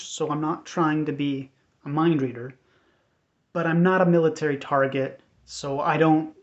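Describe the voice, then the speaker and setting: An American man giving an unscripted personal update in a measured, earnest, hesitant way. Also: on the high side. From United States. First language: English. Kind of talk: unscripted personal talk to camera. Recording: dull, lo-fi sound.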